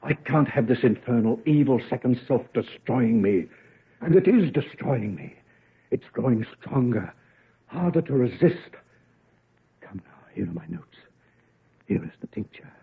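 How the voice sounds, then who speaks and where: Gruff obsessive scientist in an old radio play, impatient, very animated pitch, tinny narrow sound. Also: male voice, measured pace, pauses often. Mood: anguished, intense. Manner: impatient, blunt, theatrical. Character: brusque, driven male scientist, obsessive and teasingly gruff. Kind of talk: old-time radio drama acting. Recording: muffled phone-like old radio recording.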